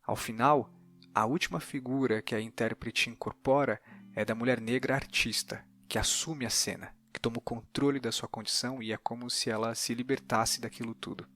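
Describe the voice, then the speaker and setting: A man with a Brazilian accent, a podcast host speaking in a measured, articulate explanatory way. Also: medium pitch, steady tone, a bit bright. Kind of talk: explanatory podcast narration and interview.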